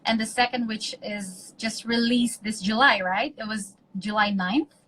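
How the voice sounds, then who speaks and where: An Indonesian-accented woman hosting a phone live stream, chatting casually and gushing with excitement. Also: fairly high pitch, a little slow, low-quality recording. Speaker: host of an Instagram live. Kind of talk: casual live-stream chat switching between two languages. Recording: phone live stream with faint ambient noise.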